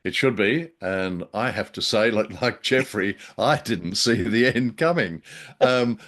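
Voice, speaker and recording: Low-voiced, self-deprecating man podcast co-host. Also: unhurried, a bit bright. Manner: self-deprecating, hesitant, many ums and restarts. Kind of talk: casual review chat.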